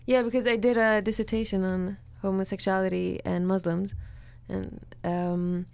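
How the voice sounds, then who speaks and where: A woman from Sweden with a Swedish accent, chatting casually as a studio guest and then reading her poetry slowly and deliberately. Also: mid-range voice, flat delivery, gravelly, a little mellow, clean tone, no echo. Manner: laughs easily, reads poetry slowly and deliberately. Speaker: guest poet. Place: radio studio.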